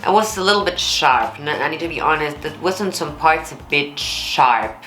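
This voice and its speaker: Low-voiced woman, blunt reaction host. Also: normal speed, echoey room. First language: German. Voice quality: low alto voice. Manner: blunt, candid, expressive. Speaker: vocal coach and reaction video host. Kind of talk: casual reaction commentary.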